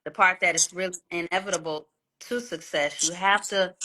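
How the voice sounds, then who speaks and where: A woman's voice: on the low side, fairly even intonation, moderate pace, pushed and bright, rough recording. A woman in her thirties, an R&B singer talking candidly in a casual interview, swearing freely and often repeating words. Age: 30s. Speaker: guest R&B singer and songwriter.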